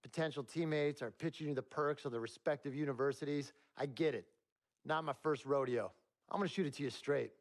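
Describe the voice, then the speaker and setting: Man as straight shooting college recruiter in a game, sure of himself, slightly high voice. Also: fairly quick, close to an everyday voice. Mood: confident, direct. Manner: direct, self-assured.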